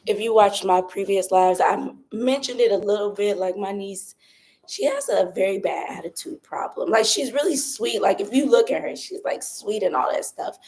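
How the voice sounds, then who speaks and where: A young woman chats casually on a live stream, her voice raspy and hoarse from a cold. She keeps it soft and doesn't push for volume. She is recording on her phone outdoors by her patio. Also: mid-range voice, expressive, moderate pace. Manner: talks softly, holding back her voice. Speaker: vlogger doing a live stream. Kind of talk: casual chatty live update.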